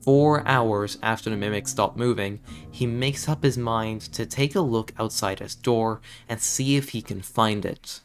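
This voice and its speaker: A man's voice: on the low side, measured pace, few pauses. A man giving scripted commentary on a horror series in an emphatic, dramatic storytelling way. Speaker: video commentator. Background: background music.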